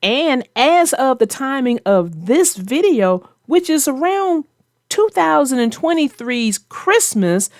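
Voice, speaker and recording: A woman's voice: a little high, dry recording, studio quality. A woman host discussing a children's story and giving advice in an emphatic, conversational way. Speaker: host of a children's read-aloud show.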